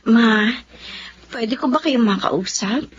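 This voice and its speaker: A woman's voice: on the high side, slow pace. A woman playing a respectful, aggrieved young wife and mother in a Filipino radio drama, polite, hesitant and pleading. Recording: dull lo-fi audio with faint noise.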